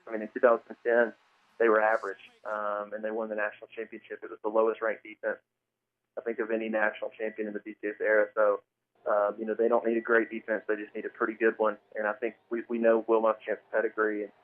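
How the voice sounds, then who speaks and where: Monotone fast-talking American man, phone-like audio. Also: fairly low pitch. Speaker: football analyst. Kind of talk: off-the-cuff analysis.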